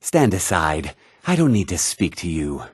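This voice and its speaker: An actor voicing blunt, haughty men of a fantasy game world. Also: male voice, deep voice, very animated, measured pace, husky, dry recording, heavily acted. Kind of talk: fantasy game character dialogue.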